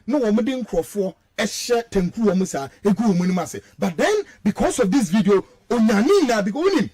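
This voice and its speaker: High-pitched, emphatic Ghanaian man. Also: big pitch swings, a little fast, dark tone. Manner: emphatic, rhetorical, repeats phrases for stress. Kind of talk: heated political commentary, mixing Twi and English.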